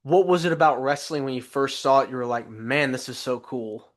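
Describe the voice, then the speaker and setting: A man's voice: mid-range voice, fairly quick. A man hosting a podcast interview, upbeat and welcoming. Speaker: podcast host.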